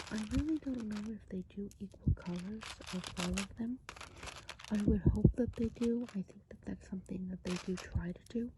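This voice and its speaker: A woman doing a hushed, whispered ASMR role play as a friendly woodland witch, explaining things in a gentle, meandering way. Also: medium pitch, very noisy. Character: friendly Christmas witch of the woods. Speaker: ASMR performer.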